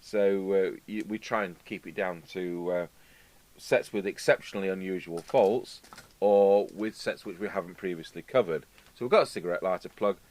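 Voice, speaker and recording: A man's voice: sits low, normal speed. An English man hosting a casual, unscripted repair video, rambling chattily with asides as he demonstrates. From United Kingdom. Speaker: video host and radio repairer. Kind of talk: casual unscripted talk to camera while demonstrating. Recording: steady hum and background noise.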